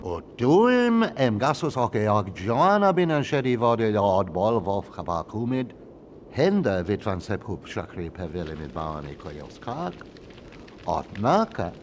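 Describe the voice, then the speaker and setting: A man's voice: lowish voice, big pitch swings, a little slow, slight background noise. A man playing a brooding, menacing guardian of sacred burial grounds in a video game, given to muttering and chanting. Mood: ritual chanting. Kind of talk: video game cutscene acting.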